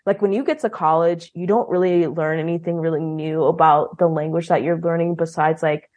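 A woman's voice: low voice, steady tone, brisk, a little mellow. A young woman speaking as an interview guest, chatty, with lots of "like" and "um". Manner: chatty, many "like" and "um" fillers. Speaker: guest, language program leader. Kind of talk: casual interview talk.

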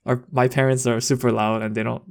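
A man's voice: medium pitch, level tone, fairly quick, vocal fry, darkish tone. A young man chatting casually as a podcast co-host, rambling with lots of fillers and restarts. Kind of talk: casual chat.

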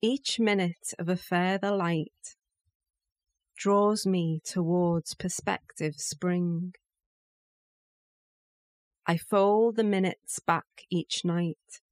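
Female poetry reader, extremely slow and measured delivery, dry close sound. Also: on the low side, fairly even intonation, no room sound. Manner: measured, pausing between short phrases. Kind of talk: poetry recitation.

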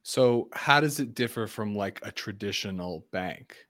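A man hosting an interview, asking questions and giving short relaxed affirmations. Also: fairly low pitch, measured pace, dry recording, studio quality. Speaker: interview host.

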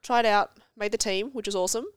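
A young woman with a congested cold, chatting as a podcast guest, self-deprecating and quick to tell stories. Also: mid-range voice, steady tone, clear, resonant tone, no echo, studio quality. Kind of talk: casual chat. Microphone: studio podcast mic.

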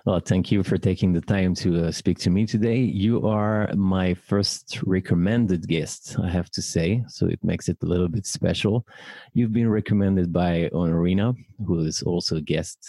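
A man's voice: deep voice, moderate pace, warm tone, no room sound. A man from France hosting a podcast, reading a scripted introduction and then interviewing a guest. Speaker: podcast host, wedding officiant.

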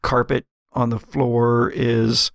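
A man's voice: mid-range voice, flat delivery, takes it slowly, gravelly, no room sound, clean studio sound. A man narrating a tabletop roleplaying game as its game master.

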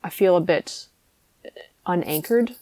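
A young woman hosts a relaxed podcast chat with an easy, talkative manner and frequent laughter. Her voice sits on the low side for a woman. The sound is close and dry, with no room echo. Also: unhurried. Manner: chatty, laughs often. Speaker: podcast host. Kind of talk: casual chat.

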